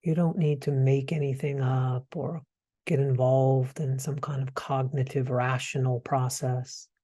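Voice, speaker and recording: Guy doing a guided meditation, really slow and mellow, gentle, kinda halting w soft pauses. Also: mid-range voice, little pitch movement.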